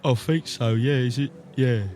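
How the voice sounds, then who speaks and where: Gentle male sidekick acted by a man, medium pitch, understated and literal, game role-play with music underneath. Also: moderate pace, lightly acted. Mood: mild, modest.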